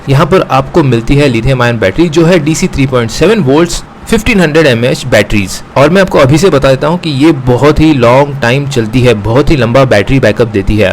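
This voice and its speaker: A man's voice: mid-range voice, slight background noise. A man with an Indian accent presenting an unboxing and review to viewers, explaining step by step and slipping into English phrases. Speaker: host of a gadget review channel. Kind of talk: unboxing and product review narration, addressing viewers.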